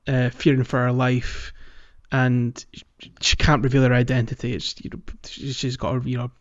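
A man hosting a podcast, casually recapping a video game in a hesitant, halting way. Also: medium pitch, little pitch movement, highs cut off. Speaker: podcast host.

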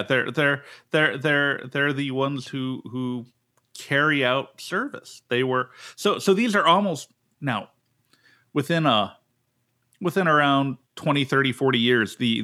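A man, a pastor from the United States, hosting a casual Bible study podcast in an animated way, often restarting his sentences and leaping up in pitch to make a point. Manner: animated, jumps up in pitch for emphasis, frequent restarts.

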